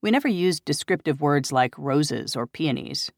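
A woman's voice: low voice, animated, normal speed, no room sound, studio quality. A woman narrating a first-person memoir as an audiobook.

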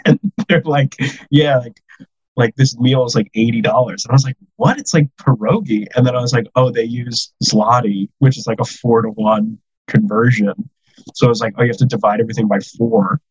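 Self-mocking man telling stories, husky voice. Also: mid-range voice, fairly even intonation, normal speed, no echo, highs cut off. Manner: self-deprecating storytelling, many "like" fillers. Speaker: podcast guest, comedian. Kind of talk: casual chat.

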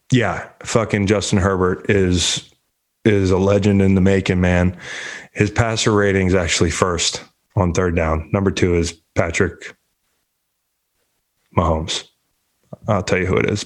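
A man with a fairly low voice riffs on sports in a loose, rambling way. He swears and cracks jokes as he goes. He sounds a little congested. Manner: profane, rambling, joking. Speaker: sports show host. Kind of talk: casual sports talk.

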